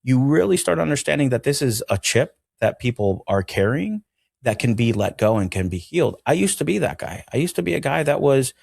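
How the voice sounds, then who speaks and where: A man's voice: medium pitch, big pitch swings, a little fast, vocal fry, darkish tone, dry recording, studio quality. A man from Venezuela talking earnestly as an interview guest, reflective and emphatic, repeating phrases to drive them home. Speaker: interview guest, mental healing educator.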